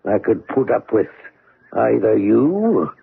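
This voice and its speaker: An actor playing a wizened old sailor, fawning and insinuating, in a radio drama. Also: male voice, fairly low pitch, big pitch swings, slow, gravelly, slightly rough, full character voice. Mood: wheedling, resentful. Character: wizened, cringing old sailor, sly and wheedling. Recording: muffled vintage radio broadcast.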